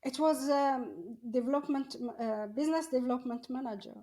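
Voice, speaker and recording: A middle-aged woman tells her personal story in an interview, often halting and searching for words. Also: sits high, dark tone. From Morocco. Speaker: podcast guest, coach.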